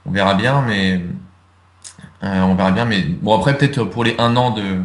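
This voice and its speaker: A man's voice: lowish voice, normal speed, roomy sound. A young man answering interview questions in casual chat, hesitant, with many fillers and restarts. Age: young adult. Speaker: interviewee, video creator.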